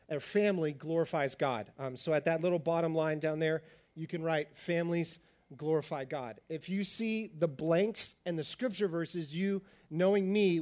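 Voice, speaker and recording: A man with a high voice delivers a sermon at a quick, energetic clip. His voice is slightly raspy. He keeps a lively, conversational tone with his congregation. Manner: fast-talking, animated preacher. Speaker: pastor.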